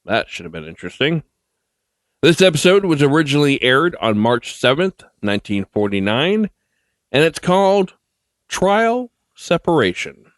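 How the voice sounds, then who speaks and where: Lively lower-middle male podcast host. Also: normal speed, dry recording, studio quality. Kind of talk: scripted podcast introduction.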